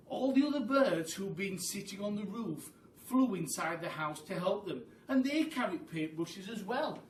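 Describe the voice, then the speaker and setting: A man tells a children's story at an unhurried pace, with animated delivery. He sounds set back from the mic in a roomy space with faint noise. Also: high voice, far from the mic. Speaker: storytelling teacher.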